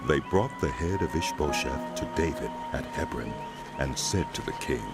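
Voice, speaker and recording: A man's voice: extremely low pitch, expressive, moderate pace, over music. A man narrating a dramatized scripture as scripted storytelling.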